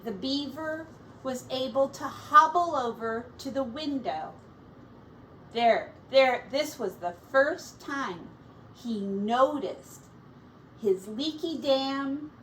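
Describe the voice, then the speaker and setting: A woman teacher reading a children's picture book aloud expressively, pausing to explain words and comment on the pictures. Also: a little high, fairly bright tone. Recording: distant mic with faint background noise.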